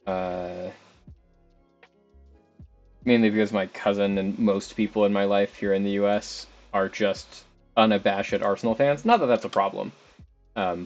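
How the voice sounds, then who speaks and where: A man's voice: on the low side, vocal fry, a little mellow. A young man streaming a football management game, chatting casually and rambling as he talks to the players on screen. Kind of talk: casual live game commentary. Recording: slightly dull stream audio with background music.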